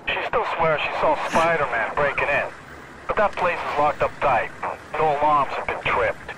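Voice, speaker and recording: A man's voice: a little high, normal speed, very noisy, natural voice. A man acting a routine, matter-of-fact patrol cop reporting to dispatch in a video game.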